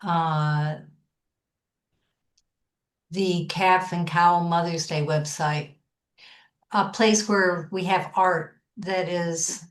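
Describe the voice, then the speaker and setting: A woman's voice: fairly low pitch, fairly even intonation, extremely slow, long pauses. A woman hosting a video call, speaking carefully to the group. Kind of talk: casual chat on a video call. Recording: echoey room on a call.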